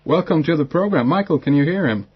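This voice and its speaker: A man hosting a radio talk show, halting and agreeable. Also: on the high side, lively intonation, slightly rough, muffled recording. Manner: halting, many fillers and restarts, agreeable. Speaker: radio host. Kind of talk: hesitant radio interview chat.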